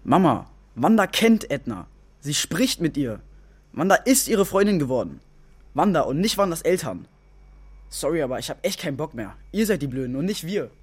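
A fourteen-year-old boy in a children's radio drama. His voice is on the high side, exasperated yet reasonable, with faint noise behind. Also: big pitch swings, moderate pace. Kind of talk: children's radio play acting.

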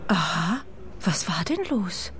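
A woman's voice: fairly low pitch, sing-song, takes it slowly, a bit bright, exaggerated voice. A woman playing an anxious, fretting mother in a children's radio drama. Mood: tense.

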